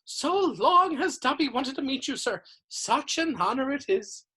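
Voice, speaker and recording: A woman reading a story aloud and voicing a small, earnest male house elf in a high-pitched voice, humble and over-polite, calling everyone sir. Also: big pitch swings, unhurried, sharp tone, exaggerated voice.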